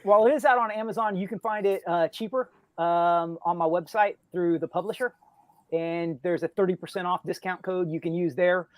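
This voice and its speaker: A man with a squeaky voice chatting casually as a guest author on a podcast. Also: fairly even intonation, a little fast, no echo. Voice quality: high, squeaky voice.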